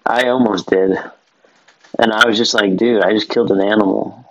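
A man's voice: on the low side, fairly even intonation, normal speed, fairly bright tone. A young man chatting casually on a podcast in a laid-back, slangy way, calling people dude and bro. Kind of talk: casual chat. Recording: dull lo-fi sound.

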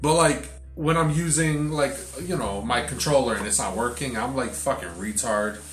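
A man's voice: medium pitch, normal speed, a bit bright, echoey room, faint music behind. A young man chatting casually as a podcast co-host, rambling with lots of fillers and swearing; his first language was Sicilian. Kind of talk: casual chat.

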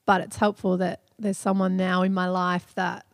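A woman co-hosting a podcast in casual chat, chatty and quick to laugh, often trailing off and starting over. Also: on the low side, flat delivery, vocal fry, dry recording, studio quality. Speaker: podcast co-host.

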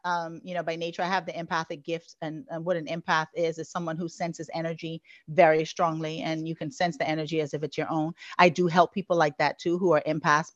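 Woman from the Bahamas, coach guest; lowish voice, muffled dry recording; earnest, rambling with fillers. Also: a bit bright, no echo. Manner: earnest, flowing, many "you know" fillers. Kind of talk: interview answers.

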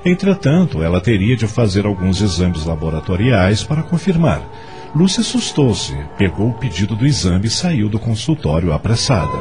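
A man's voice: lowish voice, big pitch swings, moderate pace, over music. A man narrating a radio soap opera in scripted storytelling style.

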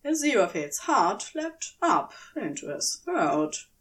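A woman reads scripted fiction in audiobook style. Her mid-pitched voice moves at a slow, measured pace, with lively, varied intonation.

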